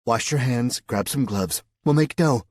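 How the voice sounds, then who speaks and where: A man voicing an eager woman who leads a secret resistance group, in a husky voice. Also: mid-range voice, measured pace, a little mellow, dry recording, studio quality, voice pushed up, natural voice. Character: eager middle-aged woman resistance organizer.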